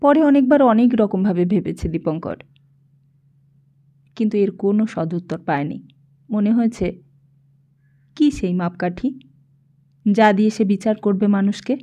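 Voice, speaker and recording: A woman narrating a literary audiobook in an expressive, reflective storytelling manner. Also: mid-range voice, measured pace, pauses often, lo-fi sound.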